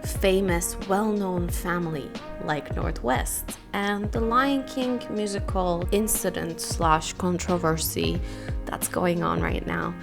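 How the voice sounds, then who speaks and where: A woman's voice: slow, vocal fry, music in the background. A young woman from Turkey hosting her own podcast, talking candidly and emphatically in a solo monologue. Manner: candid, emphatic, stresses words with rising pitch. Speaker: podcast host.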